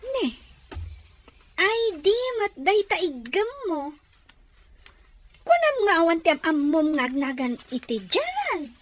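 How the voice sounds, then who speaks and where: A woman's voice: very high voice, huge pitch swings, slow, long pauses, full character voice. An actress with a Filipino accent playing a young woman in an emotional drama, hot-tempered but remorseful and wounded in love. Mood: suspicious, upset. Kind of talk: emotional audio drama acting.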